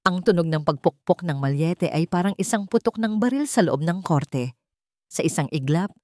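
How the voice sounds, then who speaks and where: A woman with a Filipino accent reads a scripted dramatic story in measured, short phrases. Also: lowish voice, warm tone, dry recording.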